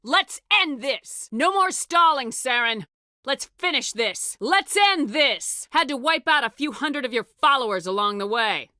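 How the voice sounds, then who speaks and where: A woman's voice: high-pitched, lively intonation, unhurried, sharp tone, dry recording, studio quality, full character voice. An actress playing a tough, resolute female military commander in a sci-fi video game, speaking with firm, clipped authority. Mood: defiant, intense.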